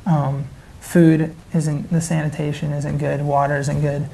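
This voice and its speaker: A woman's voice: sits low, level tone, a little slow, creaky, warm tone, rough recording. A woman giving casual, enthusiastic interview answers as a member of a charity team.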